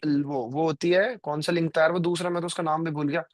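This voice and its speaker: Guy in his 20s hosting a live trading stream, kinda high voice, talks loose and rambly. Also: fairly even intonation, fairly quick, dry recording, clean studio sound. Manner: rambling, filler-heavy, addresses viewers directly. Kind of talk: casual live-stream chat.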